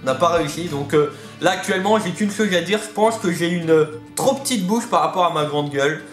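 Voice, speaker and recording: A man's voice: fairly high pitch, a little fast. A man with a slightly lisping, unusual articulation, chatting in a talkative, rambling way as host of a casual hobby video. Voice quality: atypical articulation, slight lisp-like speech impediment. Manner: talkative, rambling, repeats himself. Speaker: YouTube host of a reptile hobby channel. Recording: roomy echo, faint music behind.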